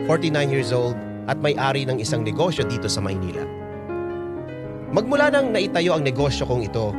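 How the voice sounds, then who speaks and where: A man's voice: mid-range voice, lightly acted. A Filipino man playing a humble 49-year-old businessman earnestly confiding his love story as a radio drama letter. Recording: loud music under the voice.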